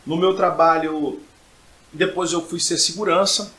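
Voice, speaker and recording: A man's voice: on the high side, fairly even intonation, measured pace, bright, forceful tone, echoey room, rough recording. A man, middle-aged, giving personal testimony as a podcast guest in an emphatic way, repeating phrases for effect. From Brazil. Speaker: podcast guest, pastor and preacher.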